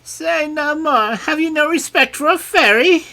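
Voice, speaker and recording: A storybook narrator voicing a stern, imperious fairy godmother in a very high, drawn-out voice. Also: expressive, a bit bright. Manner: shrill, high, slow and stern.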